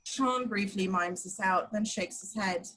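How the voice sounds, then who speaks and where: Husky woman reading scripted narration. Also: mid-range voice, a little slow, a bit bright. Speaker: action description narrator.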